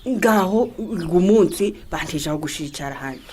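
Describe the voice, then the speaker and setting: A woman's voice: on the low side, animated, normal speed, crowd noise, lightly acted. A Rwandan woman playing a fed-up, reproachful wife, complaining insistently in a radio drama.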